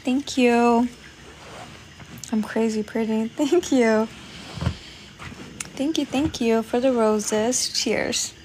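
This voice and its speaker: A woman's voice: fairly high pitch, fairly even intonation, very slow, long pauses, darkish tone, a little hiss or hum. A woman from Poland hosting a casual livestream, chatting with viewers, thanking them and laughing often. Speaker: livestream host. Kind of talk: casual livestream chat reading comments.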